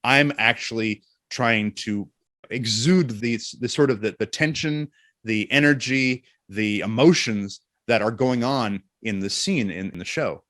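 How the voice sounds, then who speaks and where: A man's voice: medium pitch, a little slow, fairly bright tone, no echo, clean studio sound. A man talking conversationally as an interview guest, explaining his voice work thoughtfully. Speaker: voice actor and narrator, interview guest.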